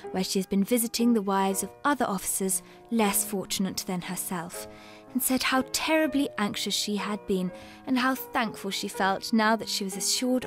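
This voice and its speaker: An actress playing a composed newspaper reporter reading out a 1912 report in a radio drama. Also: female voice, medium pitch, natural voice.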